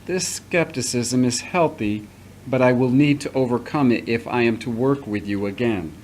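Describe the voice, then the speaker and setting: Patient middle-aged priest played by a man, audio drama, fatherly and formal, average pitch, some background noise. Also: normal speed, darkish tone, close to an everyday voice. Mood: firm, earnest. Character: returning priest, patient, paternal, earnest, formerly accused.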